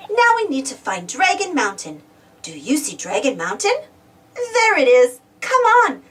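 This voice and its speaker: A woman reads a storybook aloud for small children in a high voice. Her intonation swings widely, with exclamations and questions aimed at the young listener. She sounds somewhat distant from the microphone. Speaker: storybook reader. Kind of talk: animated children's read-aloud narration.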